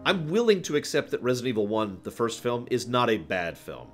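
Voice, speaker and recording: A man's voice: medium pitch, big pitch swings, brisk, soft background music. A man hosting a livestream, chatting casually and digressively with emphatic stresses as he gives his opinions. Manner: digressive, talks to live chat, emphatic stresses. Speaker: livestream host. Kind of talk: casual rambling stream chat, opinion commentary.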